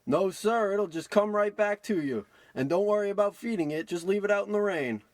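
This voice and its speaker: A man's voice: sits high, a little fast, dry recording, close to an everyday voice. A man playing a brash, scheming small-time American huckster with slangy old-timey patter in a parody radio play. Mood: smug.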